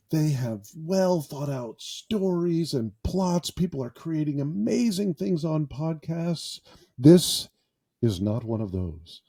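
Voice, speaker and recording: Brief: male podcast host, self-deprecating and jokey, unhurried delivery, very dark tone. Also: on the high side, slightly rough. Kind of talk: improvised casual podcast monologue. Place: small closet padded with sound foam.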